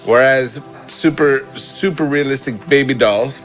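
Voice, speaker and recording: A girl doll with a man's deep voice, played by a man in an improvised toy comedy. The delivery is slow and halting, reasoning earnestly over light music. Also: fairly bright tone, lightly acted. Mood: slow accusing. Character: deliberate girl doll Jacqueline, speaks in third person.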